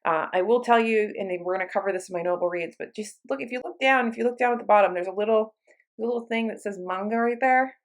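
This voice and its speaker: A woman's voice: medium pitch, quick pace. A woman with a mid-range voice chats informally to viewers in the style of a book review vlog. She is conversational and often interrupts herself to rephrase as she goes. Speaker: book reviewer, video channel host. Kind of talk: casual chatty vlog talk to viewers.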